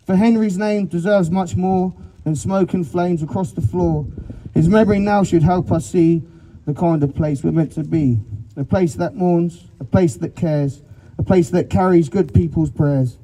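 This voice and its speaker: A man's voice: high voice, low-quality recording. A man reciting a rhyming poem to a crowd at a protest rally. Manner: steady rhythmic recitation.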